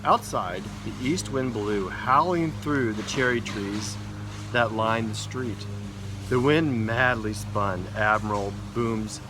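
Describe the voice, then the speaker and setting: Man reading aloud over loud outdoor noise. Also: fairly low pitch, slow. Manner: addresses young listeners informally as "guys". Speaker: elementary school principal reading to children. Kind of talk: read-aloud of a children's storybook. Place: outdoors in a backyard. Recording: outdoor noise, vehicle and ambient hum. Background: loud outdoor ambience, vehicle noise.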